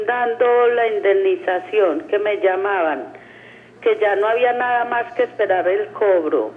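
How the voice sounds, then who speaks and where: Slow elderly woman on the phone. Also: on the high side. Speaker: caller. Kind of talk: halting personal account. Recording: dull telephone line.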